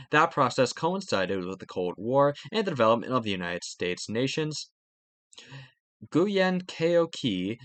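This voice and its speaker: A man's voice: medium pitch, fairly bright tone, dry recording. A man reading a history textbook aloud for students. He adds asides about the exam and sometimes stumbles and restarts a phrase. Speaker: textbook reader.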